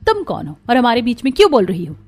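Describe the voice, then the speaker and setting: A woman playing a scornful, defiant young cartoon wife, haughty and sharp-tongued. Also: on the high side, huge pitch swings, fast, faint music behind. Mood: angry, defiant.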